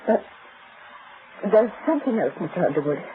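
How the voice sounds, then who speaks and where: An anxious wife in an old radio melodrama, hesitant and pleading. Her pitch rises and falls dramatically. The recording is muffled and clearly noisy. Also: very animated, moderate pace. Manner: hesitant, plaintive, breaking off and repeating words. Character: anxious, pleading wronged wife, thirty-six. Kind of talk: old-time American radio drama acting.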